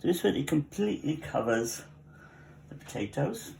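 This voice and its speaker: A man's voice: a little high, sing-song, moderate pace, creaky, darkish tone, a little hiss or hum. A man with a Welsh accent who runs a cafe, talking viewers through a cooking tutorial at his home kitchen in a chatty way, with joking asides. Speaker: cafe cook.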